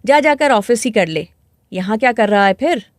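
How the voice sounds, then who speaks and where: A woman's voice: a little high, lively intonation, normal speed, no room sound, clean studio sound, close to an everyday voice. A woman voicing a hard, scornful middle-aged transgender woman who runs an escort trade, in a dramatized story. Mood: scornful, curt. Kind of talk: dramatized story dialogue in Hindi.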